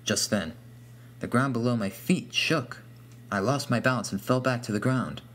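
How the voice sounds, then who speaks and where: A man's voice: mid-range voice, moderate pace, a bit bright. A man reading a scripted first-person horror story as its narrator.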